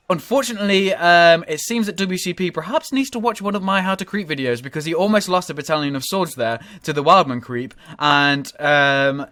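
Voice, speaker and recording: A man with a cold commentating on a strategy game in a casual, rambling way, sounding nasal and often pausing to correct himself. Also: a little high, a bit bright, no echo. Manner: rambling, with frequent ums and self-corrections.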